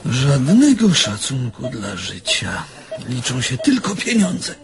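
An actor playing a nervy, cynical surgeon in a radio drama. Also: male voice, mid-range voice, huge pitch swings, takes it slowly, over music, heavily acted. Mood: flustered.

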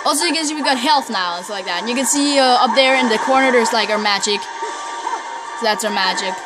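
A woman's voice: on the high side, brisk, low-quality recording. Chatty young woman gaming. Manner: chatty, rambling with many ums and laughs. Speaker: gamer playing through a game. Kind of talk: casual gameplay commentary. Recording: talking over loud game music.

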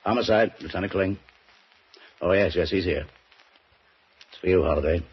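An actor playing a gruff, no-nonsense male police lieutenant, in 1940s radio drama style. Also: low-pitched, normal speed, background noise, close to an everyday voice. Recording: muffled 1940s radio broadcast.

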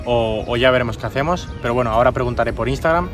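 A man's voice: mid-range voice, very fast, music in the background. A young man from Spain talking casually to his phone camera outdoors, calling his viewers "gente" and "chavales". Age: 20s. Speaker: travel vlogger. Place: outdoors near an airport, by a parking lot. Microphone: handheld phone camera at arm's length.

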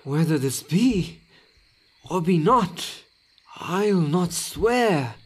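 Man playing a high-voiced, shaken old courtier. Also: animated, drawn-out words, slightly rough, full character voice. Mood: doubtful. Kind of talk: Shakespearean radio play acting in verse.

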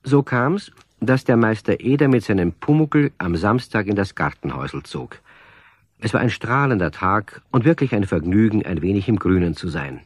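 A man playing the storyteller of a children's radio play, giving measured scripted narration. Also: on the low side, lively intonation, breathy edge, dry recording, clean studio sound. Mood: calm.

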